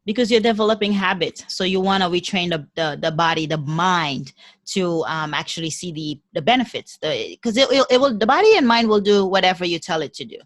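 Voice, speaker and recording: A woman from Haiti with a Haitian accent, a podcast guest talking in a lively way and often repeating words as she gathers her thoughts. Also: lowish voice, fairly quick, no echo. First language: French and Haitian Creole. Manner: animated, repeats words while thinking. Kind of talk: casual interview conversation.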